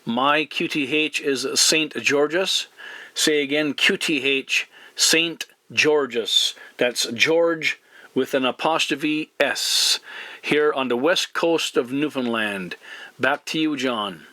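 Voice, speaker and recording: A man working as a CB radio operator, speaking courteously and deliberately in formal radio procedure, often spelling with a phonetic alphabet. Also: mid-range voice, sharp tone, dry recording. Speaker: CB radio operator and video channel host.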